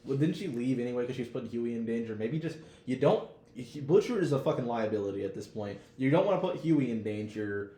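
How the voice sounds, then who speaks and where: A man's voice: medium pitch, animated, quick pace, mellow. An American man co-hosting a podcast, chatting casually about TV with slangy, profane, opinionated talk. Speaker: podcast co-host. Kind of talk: casual TV discussion chat. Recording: roomy echo with background noise.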